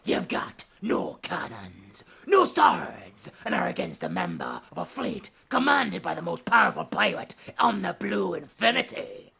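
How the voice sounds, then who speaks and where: A theatrical, menacing female pirate boss for an audio drama. The voice swoops widely and drawls slowly, full of taunts, over faint noise and ambience. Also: mid-range voice, huge pitch swings, vocal fry, pushed and bright, heavily acted. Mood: threatening.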